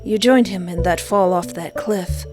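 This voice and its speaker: A woman's voice: on the low side, measured pace. Woman reading a story game over music. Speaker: game player reading and reacting. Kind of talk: expressive read-aloud narration with casual reactions. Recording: music behind the voice.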